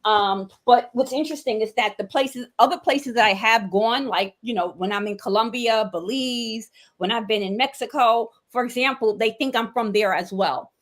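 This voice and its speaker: A woman giving thoughtful closing remarks in a discussion, speaking in a measured way with frequent pauses. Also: a little high. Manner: measured, thoughtful, many ums. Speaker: discussion participant.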